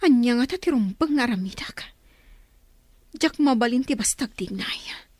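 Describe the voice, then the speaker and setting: A woman's voice: fairly high pitch, lively intonation, normal speed, a little mellow, no room sound. A woman with an Ilocano Filipino accent playing a compassionate, anxious daughter of a village chief in a radio drama. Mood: worried musing.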